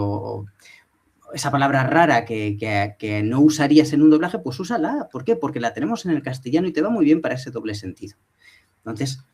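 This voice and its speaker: Brief: man on a dubbing panel, emphatic, questions the audience. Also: medium pitch. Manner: animated, emphatic, rhetorical questions. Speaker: dubbing professional. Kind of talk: panel talk at a professional meeting.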